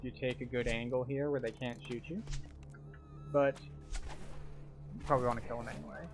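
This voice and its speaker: A man's voice: mid-range voice, little pitch movement, moderate pace, noisy background. A man giving methodical, instructional commentary as the tour guide of a video game walkthrough. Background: game music.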